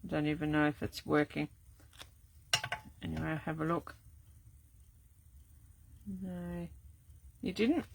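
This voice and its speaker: An older woman, chatting casually through a live card-making demo, rambling with many ums and restarts, her voice going croaky. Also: sits low, steady tone, slow, pauses often, background noise. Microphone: camera set up at desk.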